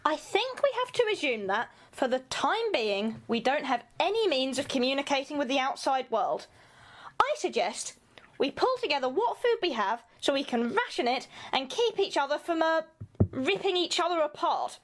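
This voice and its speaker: A woman plays an earnest young student taking charge in an amateur radio play. Her voice is high and swings freely in pitch as she politely organises the group. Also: very animated, normal speed. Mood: earnest, determined.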